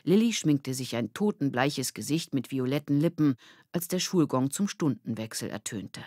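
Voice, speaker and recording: A woman reading a children's audiobook as narrator, with clearly articulated, expressive storytelling. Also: rumbling low, normal speed, dry recording, studio quality. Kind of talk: scripted children's story narration with character voices.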